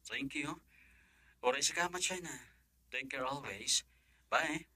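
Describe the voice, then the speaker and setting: A man's voice: medium pitch, slow pace, bright, forceful tone, no echo, studio quality, full character voice. A man with an Ilocano Filipino accent acting in a radio drama as a courteous professional, an attentive son and suitor. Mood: gentle, courting.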